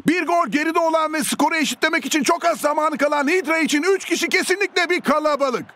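An actor playing an excitable football commentator in a cartoon dub, theatrical and exclamatory. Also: male voice, extremely high pitch, quick pace, faint music behind. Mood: astonished.

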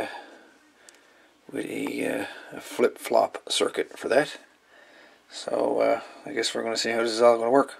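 A man's voice: lowish voice, vocal fry, slightly rough. A man hosting a casual hands-on electronics demo, rambling and thinking aloud as he goes. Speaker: hobbyist video host.

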